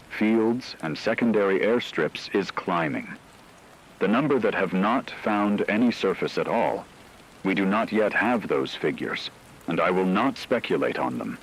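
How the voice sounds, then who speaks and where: A man playing a grave, formal radio news anchor, reading an emergency broadcast in a measured, authoritative way. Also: on the low side, slight background noise, rough recording. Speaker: news announcer.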